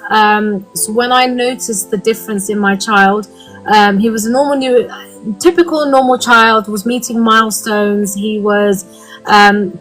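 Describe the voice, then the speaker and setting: A woman from the UK in her thirties gives a candid, earnest account in a live online session. She pauses often on "um" as she finds her words. Faint music sits under her voice. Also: a little high, a bit bright, poor audio. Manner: earnest, frequent "um" fillers, candid. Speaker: guest speaker.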